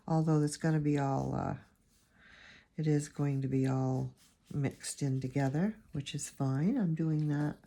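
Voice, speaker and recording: A woman with a low, gravelly voice, hoarse and raspy from allergies, talks through a watercolor tutorial. Her tone is dark and mellow. She rambles and thinks aloud as she paints, into a phone camera. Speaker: watercolor teacher.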